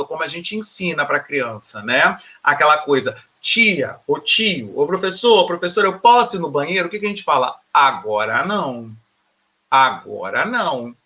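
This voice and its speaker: A male professor speaks in a high-pitched, bright voice. He lectures informally and emphatically, posing rhetorical questions and punctuating his points with little tag checks. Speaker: university professor and research group coordinator. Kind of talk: informal academic talk to teachers.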